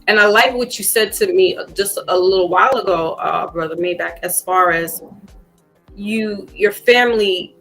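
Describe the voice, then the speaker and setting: A woman's voice: medium pitch, normal speed, fairly bright tone. Woman, podcast host, mid-range voice, casual and reflective, many fillers, faint music under her. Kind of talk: casual conversation.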